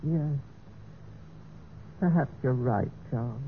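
An actress playing a trusting, lonely young heiress in a vintage radio mystery, speaking with earnest, genteel gentleness. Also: female voice, a bass voice, slow, phone-quality sound. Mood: hesitant. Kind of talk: 1940s-50s radio mystery acting. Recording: noisy band-limited old radio recording.

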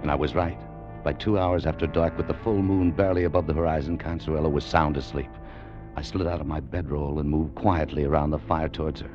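An American actor playing a wary, hard-boiled gold-hunting adventurer in an old radio drama, with clipped, tough, colloquial delivery. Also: male voice, extremely low pitch, fairly even intonation, a little fast. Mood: tense, guarded. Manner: clipped, tough, colloquial first-person narration. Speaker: radio actor, lead and narrator. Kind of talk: 1940s-50s adventure radio drama acting. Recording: muffled vintage radio recording, music underneath.

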